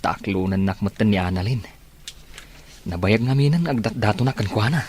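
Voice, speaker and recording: A man plays a supportive young buddy in an audio soap, teasing and hopeful. His voice runs fairly low, over faint background noise. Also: normal speed, darkish tone, lightly acted. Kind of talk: Ilocano audio soap drama acting.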